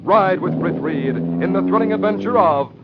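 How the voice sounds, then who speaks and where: A man's voice: a little high, very animated, moderate pace, creaky. A man announcing and narrating a 1940s radio drama with a dramatic, emphatic delivery. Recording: dull hissy old radio recording.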